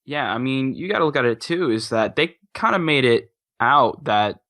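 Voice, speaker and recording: A man's voice: mid-range voice, animated, normal speed, sharp tone, no room sound, studio quality. A man on a podcast panel chatting casually in loose, conversational phrases. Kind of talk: casual chat.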